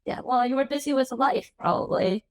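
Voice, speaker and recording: A woman's voice: high-pitched, brisk, no room sound. A woman whose first language is Korean, hosting an interview, chatty and agreeable with many small affirmations. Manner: chatty, agreeable, lots of backchannel. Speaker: podcast host.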